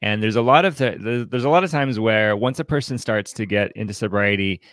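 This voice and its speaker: A man co-hosting a conversational book commentary, speaking in a halting, reflective way that often repeats words. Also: lowish voice, a bit bright, no room sound, studio quality. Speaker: podcast co-host leading a book commentary.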